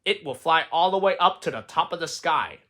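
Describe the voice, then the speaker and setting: A man voicing a calm, patient frog in a read-aloud storybook, gentle and encouraging. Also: a little high, a little fast.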